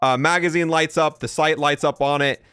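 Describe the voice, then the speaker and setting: A man's voice: a little high, steady tone, moderate pace, fairly bright tone, clear, resonant tone, no room sound, soft background music. A man giving casual, opinionated gameplay review commentary in an informal, reactive way. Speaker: gaming content creator, host.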